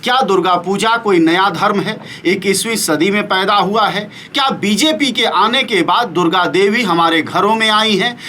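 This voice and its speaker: Man, news commentator, opinion monologue, high-pitched, bright tone, rhetorical questions, sarcastic. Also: slight background noise. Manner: rhetorical, piles up pointed questions; sarcastic, scornful edge.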